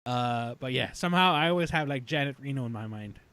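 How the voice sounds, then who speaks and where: A man in his thirties hosting a casual fan discussion, talking in a halting, rambling way as he recaps. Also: mid-range voice, animated, a bit bright, smooth. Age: 30s. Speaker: podcast host.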